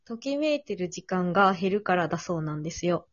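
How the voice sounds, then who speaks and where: A woman's voice: on the low side, expressive, brisk, dry recording. A woman hosting a casual conversation, offering advice in polite, tentative, reflective phrasing. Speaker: podcast host. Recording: slightly dull lo-fi audio.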